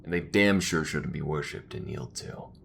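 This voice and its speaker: A man's voice: moderate pace, gravelly, slight background noise, heavily acted. A man playing a weary, principled space rebel in a sci-fi audio drama, speaking in an earnest, emphatic way. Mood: defiant, firm. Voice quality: low-pitched.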